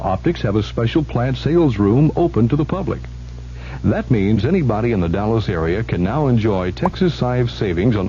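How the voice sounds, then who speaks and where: A man's voice: medium pitch, dark tone. A man reading a 1970s radio advertisement as a commercial announcer. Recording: dull old radio broadcast, faint hum.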